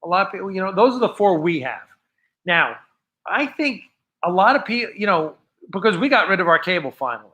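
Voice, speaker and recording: A man with an American accent hosts a casual livestream in a high, bright voice. He talks off the cuff, rambling and halting, often letting a thought trail off before starting on the next. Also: big pitch swings. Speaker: host of a live fan show. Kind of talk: casual unscripted livestream chat.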